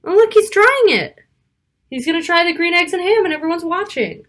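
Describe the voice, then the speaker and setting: High, very animated woman reading to kids. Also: a little fast. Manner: expressive, rhythmic rhyming delivery. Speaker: picture book reader. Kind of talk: animated children's story read-aloud.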